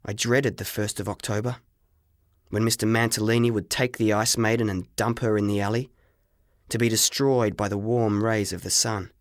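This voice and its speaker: A man narrating a scripted first-person story as an audiobook, with dramatic, expressive delivery. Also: fairly low pitch, moderate pace, no room sound, studio quality.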